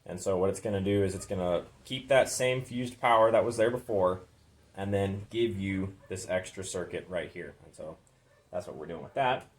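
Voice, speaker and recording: A man's voice: low voice, normal speed. A man hosting a casual DIY garage vlog, talking informally through a hands-on job as he works. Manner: informal, talks while working. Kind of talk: casual hands-on how-to explanation.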